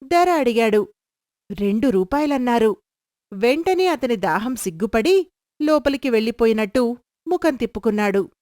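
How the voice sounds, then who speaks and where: Woman telling a kids story, lively, slightly high voice, dry close mic. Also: normal speed, pauses often, no room sound. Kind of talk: scripted story narration.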